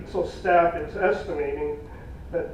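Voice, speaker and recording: A man presenting cost estimates at a public meeting in a plain, folksy way, losing his voice, with halting fillers. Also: a little high, a little hiss or hum. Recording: echoey room from far off, dull.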